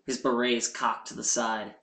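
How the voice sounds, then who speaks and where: A man's voice: mid-range voice, little pitch movement, moderate pace, pushed and bright, slight background noise. A man co-hosting a podcast, adding dry jokes to a crude casual chat. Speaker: podcast co-host. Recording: echoey room.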